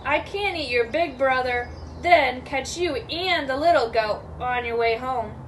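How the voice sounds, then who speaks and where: A woman's voice: high-pitched, a little slow, a little hiss or hum. A woman voicing a hideous, greedy male troll in a storybook, bullying and roaring. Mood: scheming. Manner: roaring, yelling.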